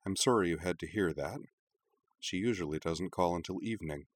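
A man's voice: sits low, animated, moderate pace. A man voicing an exasperated young woman in an audiobook, clipped, firm and dryly sarcastic. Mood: weary. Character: young woman, sharp-tongued, exasperated with her mother. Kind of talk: audiobook character voice.

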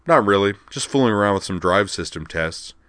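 A man voicing a middle-aged father and ship captain in an audiobook, easygoing and faintly amused, turning brisk when giving orders. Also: on the low side, expressive, a bit bright, dry recording. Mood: genial. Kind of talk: audiobook character voice.

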